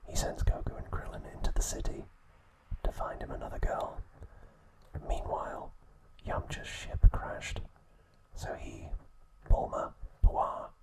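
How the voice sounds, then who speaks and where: A man speaks entirely in a whisper, in the style of ASMR narration. He relays trivia like a story, softly and without rushing, keeping the delivery hushed and breath-led. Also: low-quality recording. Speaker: ASMR host.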